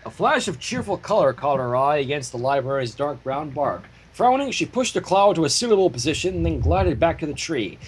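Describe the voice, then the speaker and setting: High-pitched, animated man reading aloud. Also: a little fast, few pauses, a little hiss or hum, rough recording. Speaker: fan fiction reader. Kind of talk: storybook narration with casual asides.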